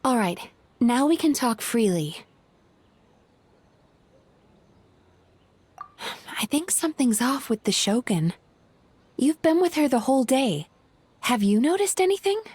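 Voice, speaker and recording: Caring female healer spirit for a game: high voice, calm, polite, thoughtful counsellor delivery. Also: moderate pace, slightly rough, exaggerated voice. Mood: concerned. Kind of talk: video game voice acting.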